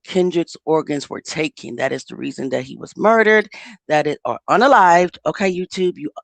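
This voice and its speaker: A woman's voice: low voice, moderate pace, creaky, no echo. A woman host with a speech impediment giving casual commentary, stuttering and repeating words in a heated, insistent way. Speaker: channel host.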